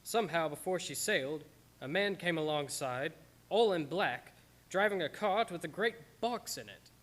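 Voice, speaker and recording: A man's voice: on the high side, animated, moderate pace, fairly bright tone. An actor playing a grave young Englishman who reads his journal aloud in a radio drama. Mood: grave, measured. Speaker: actor narrating. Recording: music bed under live-streamed performance.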